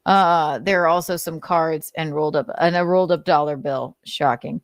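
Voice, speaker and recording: A woman's voice: deep voice, steady tone, normal speed, dry recording, clean studio sound. A woman reading notes aloud with casual, sardonic commentary, swearing freely and talking conversationally. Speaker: host of a commentary show.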